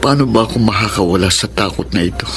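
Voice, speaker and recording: An actor playing an anxious, fearful husband in a Filipino radio drama, with a Filipino accent. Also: male voice, lowish voice, fast talker, close to an everyday voice. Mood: troubled. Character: anxious, fearful husband haunted by past losses. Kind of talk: Philippine radio drama acting and narration. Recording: music behind the voice.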